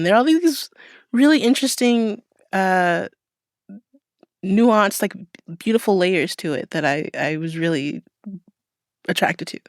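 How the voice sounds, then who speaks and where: A woman's voice: on the low side, dry recording, studio quality. A woman hosting a podcast interview in a casual, playful and informal conversational style. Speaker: podcast host interviewing an author.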